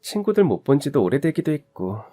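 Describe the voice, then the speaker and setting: A man voicing a young wife and mother who talks softly and reasons gently. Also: medium pitch, very animated, fairly quick, soft background music, pitched above the performer's own voice. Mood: persuasive.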